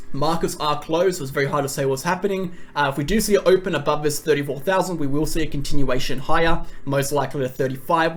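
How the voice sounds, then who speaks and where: Brisk emphatic man, slightly hoarse. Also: fairly high pitch. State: voice going a bit hoarse. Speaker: crypto market analyst. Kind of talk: market analysis vlog.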